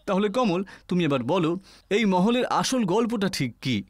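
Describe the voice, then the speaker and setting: A man voicing an excitable, reckless young ghost-hunting vlogger with a breezy, eager manner in an acted horror story. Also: high-pitched, moderate pace, no echo, clean studio sound. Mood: showy, presenting. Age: young adult. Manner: breezy, eager, dismissive of fear.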